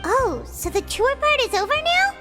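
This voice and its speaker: High, childlike, sweet little nurse. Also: female voice, very animated, normal speed, over loud music. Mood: cheerful, welcoming. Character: small, sweet, childlike female prison head nurse. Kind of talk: video game voice acting.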